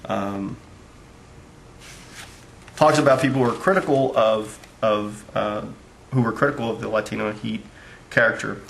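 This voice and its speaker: A man's voice: on the low side, unhurried, gravelly, long pauses, fairly bright tone, a little hiss or hum, rough recording. A man giving a casual spoken book review, rambling along with lots of fillers.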